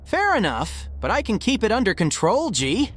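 A man's voice: high voice, huge pitch swings, moderate pace, a bit bright, a little hiss or hum, full character voice. A man playing a young heroic hedgehog, cocky but worried, in a dramatic comic dub with casual, earnest delivery. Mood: urgent. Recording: music behind the voice.

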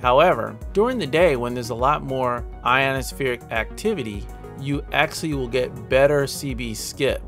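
Male tutorial narrator, mid-pitched with a gravelly edge, instructional delivery for a tech explainer. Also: normal speed, hardly any pauses, a bit bright, soft background music. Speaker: tutorial host.

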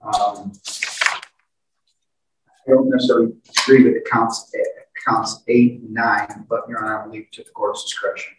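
A man's voice: lowish voice, level tone, moderate pace. Distant, echoey American male defense attorney. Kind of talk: formal courtroom argument. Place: courtroom, far from the mic. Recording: echoey distant courtroom microphone, faint noise.

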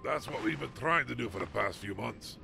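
A man playing a stern, guarded naval commander with a gravelly, formal, commanding delivery in a fantasy drama. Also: medium pitch, lively intonation, fairly quick, music in the background. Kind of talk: fan-made fantasy game drama acting.

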